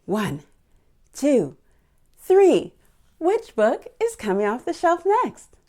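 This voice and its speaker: A woman's voice: sits high, wildly swooping pitch, slow pace, warm tone. A woman hosting a picture book storytime for young children, speaking in an encouraging, exclamatory way and putting questions to her little listeners.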